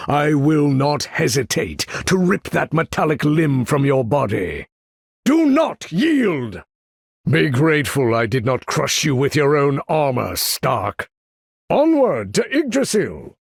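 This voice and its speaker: A male actor playing a proud, imperious older supervillain commander in a video game, with a gravelly, husky voice and grandiose, menacing, formal delivery. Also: on the high side, big pitch swings, slow, dry recording, clean studio sound, exaggerated voice. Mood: booming fury. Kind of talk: theatrical video game character voice lines.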